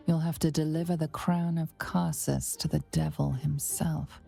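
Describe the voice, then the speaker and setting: A deep, creaky, foreboding game narrator. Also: female voice, dark tone, heavily acted. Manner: measured, ominous. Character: grave, foreboding female storyteller advising the hero.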